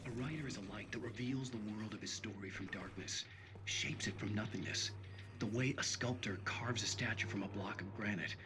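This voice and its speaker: An actor playing a brooding writer who gives solemn, dramatic narration in a video game. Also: male voice, on the low side, moderate pace, noisy background, close to an everyday voice. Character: brooding male writer narrating his dark ordeal.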